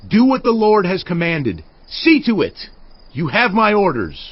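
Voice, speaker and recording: An actor playing an earnest, authoritative Israelite war leader with forceful, declamatory delivery in a dramatized audio Bible. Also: male voice, high-pitched, wildly swooping pitch, measured pace, slightly rough, no echo, lo-fi sound, heavily acted. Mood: urgent, commanding. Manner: forceful, declamatory, urgent orders.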